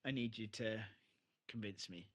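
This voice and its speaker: A man co-hosting a casual TV re-watch podcast, slangy and animated, acting out reactions as he talks. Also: on the low side, normal speed. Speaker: podcast co-host.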